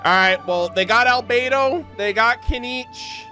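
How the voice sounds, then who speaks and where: A man streaming a video game and reacting casually, in slangy, excitable commentary. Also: very high voice, a little slow, music in the background.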